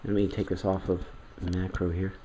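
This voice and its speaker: A man with a head cold and a nasal voice, talking casually as a video host while unboxing, halting often with fillers and clearing his throat. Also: low voice, flat delivery, very noisy.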